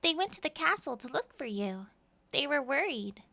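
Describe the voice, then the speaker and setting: Lady doing a little girl pony, high bright voice, bit creaky, bookish n detached. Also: very animated, moderate pace, full character voice. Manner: detached, absorbed. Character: bookish, aloof eleven-year-old filly, little sister. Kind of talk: fan audio drama dialogue.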